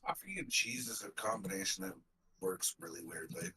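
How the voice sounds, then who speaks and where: A man's voice: fairly low pitch, brisk, pushed and bright, far from the mic, a little hiss or hum, rough recording. A man in his thirties co-hosting a live hockey reaction stream, rambling playfully and searching for words. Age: 30s. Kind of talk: casual banter.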